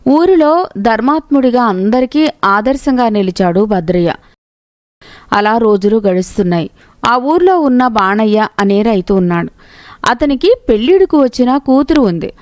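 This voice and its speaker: Higher-voiced woman narrating, dry studio sound. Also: a little mellow, no room sound. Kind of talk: scripted moral-story narration.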